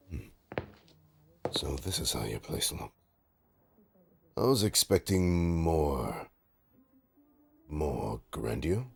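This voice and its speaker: A man playing a suave, smug supervillain in an audio roleplay, teasing his nemesis with a pompous, mock-polite drawl and dramatic pauses. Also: low-pitched, a little mellow, full character voice. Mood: wry, airy. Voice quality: gravelly, husky. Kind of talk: audio roleplay monologue addressed to listener.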